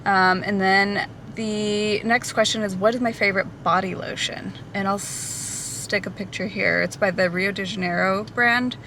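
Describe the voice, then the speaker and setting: A woman's voice: medium pitch, fairly even intonation, measured pace, hardly any pauses, pushed and bright, a little hiss or hum. A woman in her thirties, a hairstylist vlogging a casual Q&A, talking loosely with many ums and likes on a camera mic. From Portugal. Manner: chatty, many ums and likes, laughs lightly. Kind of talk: casual Q&A vlog. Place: out at a café or in a car.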